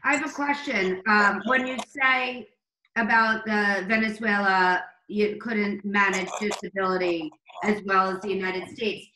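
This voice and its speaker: A woman's voice: steady tone, unhurried, pushed and bright, slight background noise. A woman hosting a talk show, interviewing a guest with effusive exclamations. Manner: effusive, exclaims with big pitch leaps. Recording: echoey room.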